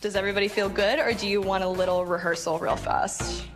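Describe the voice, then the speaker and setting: A woman's voice: medium pitch, fairly even intonation, fast talker. A woman casually giving instructions to performers on a film set, heard under music. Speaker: film crew member directing performers on set.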